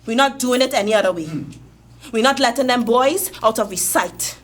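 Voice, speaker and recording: An actress playing a fiery, resolute Trinidadian community matriarch in a radio drama, commanding and insistent, full of challenging questions. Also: female voice, a little high, moderate pace, close to an everyday voice.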